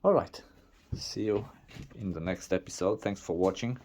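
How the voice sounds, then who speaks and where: A man whose first language is not English, narrating a casual workshop vlog in a halting way with many restarts and fillers. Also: fairly low pitch, darkish tone, slight background noise. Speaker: DIY video maker.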